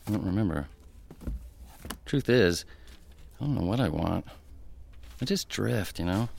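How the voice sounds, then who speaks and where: Audio drama role: self-pitying, indecisive guy, very creaky voice, downbeat musing. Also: low-pitched, lively intonation, measured pace, darkish tone, slight background noise, heavily acted. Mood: wistful. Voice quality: gravelly, creaky. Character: wistful, self-pitying, indecisive man, just drifting. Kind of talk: naturalistic satirical audio drama acting.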